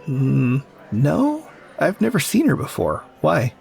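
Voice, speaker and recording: A man acting a friendly, attentive tavern keeper with a gravelly voice in a fantasy audio drama. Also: on the high side, huge pitch swings, slow, darkish tone, soft background music, heavily acted. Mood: welcoming, curious.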